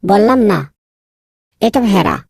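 A woman voicing a naive, proud young scholar son in a cartoon, easily exasperated, with a husky, creaky voice. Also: medium pitch, lively intonation, unhurried, darkish tone, dry recording, clean studio sound, full character voice. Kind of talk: cartoon dubbing.

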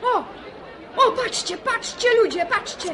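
An actress playing an excitable, nosy village gossip in a radio serial, exclaiming and repeating herself in rustic speech. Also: female voice, very high voice, a little slow, very noisy. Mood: excited, scandalized. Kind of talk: Polish radio serial acting. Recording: old radio drama, music and crowd behind.

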